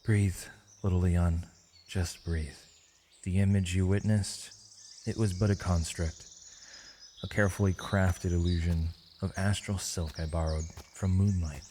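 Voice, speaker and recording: Guy acting as a wise gentle mage for asmr roleplay, real low mellow voice, soft and solemn, poetic. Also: slight background noise. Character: misunderstood male mage, gentle, wise, mysterious, tenderly reassuring. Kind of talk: ASMR roleplay, scripted dramatic monologue.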